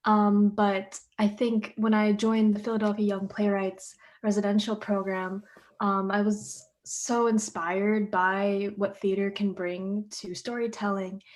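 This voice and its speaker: A woman's voice: medium pitch, little pitch movement, measured pace, a little mellow. A teenage girl, a playwright guest on a panel, answering questions earnestly with many fillers over a video call. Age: teens.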